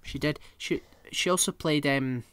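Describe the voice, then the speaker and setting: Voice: medium pitch, unhurried, clear, resonant tone, no echo. A podcast co-host chatting casually and swearing freely while telling rambling comic stories. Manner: rambling, animated storyteller.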